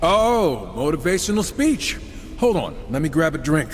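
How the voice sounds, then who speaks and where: A man's voice: fairly high pitch, sing-song, unhurried, long reverb tail, faint music behind. A man playing a sarcastic, irreverent horseman gunslinger in a video game. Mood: flippant. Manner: sarcastic, flippant. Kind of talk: video game character acting.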